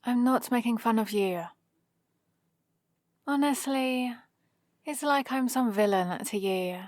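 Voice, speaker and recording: A woman's voice: on the high side, slow, a little mellow, no room sound. A woman with a British accent playing a sardonic supervillain who grudgingly cares for an injured rival, in a dry, teasing ASMR roleplay. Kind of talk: ASMR roleplay, close and unhurried.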